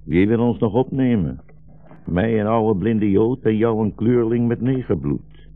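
Deep, gravelly, weary old rabbi. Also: male voice, lively intonation, a little slow. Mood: bitter, solemn. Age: older. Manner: solemn, brooding, declamatory. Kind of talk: 1960s Dutch radio drama acting. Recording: dull, muffled 1960s radio recording.

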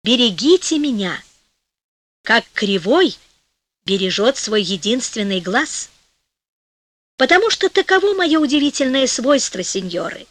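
Woman voicing dignified Lady Honor, lively and formal. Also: on the high side, unhurried, long pauses, no room sound, heavily acted. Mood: solemn. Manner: courteous, formal, stately. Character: Honor, dignified, scrupulous, trusting noble lady spirit.

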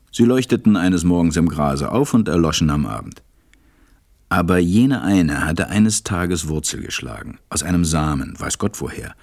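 An actor playing a gentle, reflective storyteller, narrating a storybook radio play. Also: male voice, deep voice, dry recording, clean studio sound. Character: reflective adult male narrator recalling a little friend.

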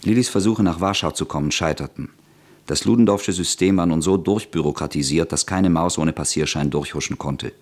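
A man's voice: low voice, fairly quick, a little mellow. A man reading scripted literary narration for a radio play.

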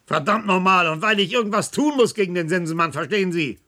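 A man playing a gruff, impatient, sarcastic hospital chief in a German radio play, brusque and blunt. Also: high voice, fast talker, pushed and bright. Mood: exasperated.

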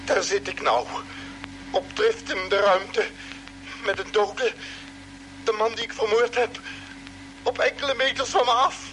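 A man's voice: high voice, measured pace, pauses often, fairly bright tone, a little hiss or hum, rough recording. An actor playing a guilt-ridden spaceship crewman in an old radio play, stammering, pleading and overwrought, over a radio message. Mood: despairing confession. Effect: heard as a radio or tape message. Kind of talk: mid-century radio play acting.

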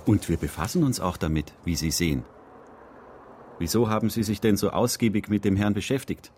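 An actor playing a sceptical senior ministry official in a radio drama, questioning in an officious, dismissive way. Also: male voice, fairly low pitch, fairly quick, a little mellow, a little hiss or hum, close to an everyday voice. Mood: condescending.

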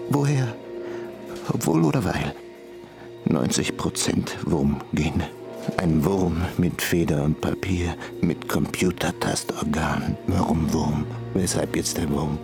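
Deep, gravelly, brooding ape-man creature. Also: over music, heavily acted. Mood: brooding, musing. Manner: fragmented, halting, introspective phrases. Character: lonely brooding male ape-human hybrid creature, self-declared monster. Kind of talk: literary radio drama monologue.

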